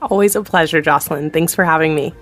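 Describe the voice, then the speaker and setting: A woman's voice: sits low, quick pace, soft background music, close to an everyday voice. A woman playing a giggly, friendly producer guest. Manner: warm, giggly, conspiratorial.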